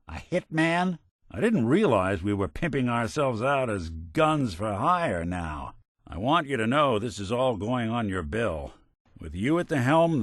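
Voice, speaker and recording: Low-voiced, wisecracking weary robot detective. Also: male voice, no room sound, clean studio sound. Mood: disapproving, sardonic, then earnest, urging. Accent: American. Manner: wry, deadpan, sardonic asides. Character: world-weary, wisecracking synthetic robot detective, middle-aged male. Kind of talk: video game companion dialogue, hard-boiled noir.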